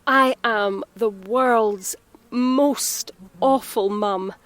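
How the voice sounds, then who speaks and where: A woman's voice: mid-range voice, extremely slow, fairly bright tone, dry recording. An actress playing a harried, self-reproachful Scottish mother in her thirties in an audio drama, talking in a naturalistic, confiding way. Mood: flustered, apologetic. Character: harried, guilt-ridden mother of a nine-year-old boy.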